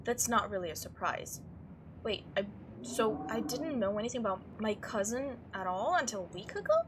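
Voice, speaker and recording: A woman's voice: medium pitch, moderate pace. A young woman playing a visual novel, reading it aloud expressively with playful asides. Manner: animated, expressive read-aloud. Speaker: game streamer. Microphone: home microphone. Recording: noisy home microphone recording.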